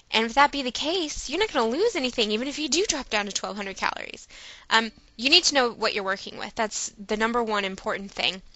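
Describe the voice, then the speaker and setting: A woman's voice: on the high side, pushed and bright, dry recording. A woman vlogger talking casually and personally, giving earnest, emphatic and encouraging advice.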